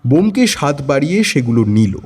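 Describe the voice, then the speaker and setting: A man's voice: a little high, very animated, brisk, a little mellow, slightly rough. A man narrating a scripted first-person detective story.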